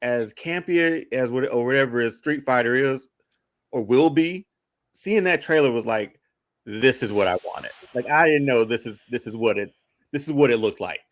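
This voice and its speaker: A man's voice: medium pitch, expressive, moderate pace. A man chatting casually as a podcast panelist, slangy and emphatic, often repeating his phrases for weight.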